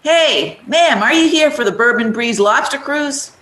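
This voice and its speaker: A woman playing a brisk, blunt, no-nonsense tour boat hostess in a radio play. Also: sits high, lively intonation, a bit bright, echoey room, slight background noise. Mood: brisk, businesslike.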